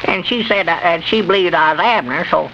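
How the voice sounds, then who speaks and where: Folksy southern hick for old radio comedy, stammery and gullible, kinda high creaky voice, noisy dull sound. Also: male voice, brisk. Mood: cheerful, chuckling. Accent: rural Southern US. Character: good-natured, gullible, henpecked rural country man. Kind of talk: 1940s radio comedy acting.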